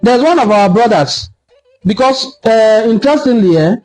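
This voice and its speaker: A Nigerian man giving an impassioned solo commentary, lecturing forcefully and repeating points for emphasis. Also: very high-pitched, animated, moderate pace, poor audio. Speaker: online cultural commentator.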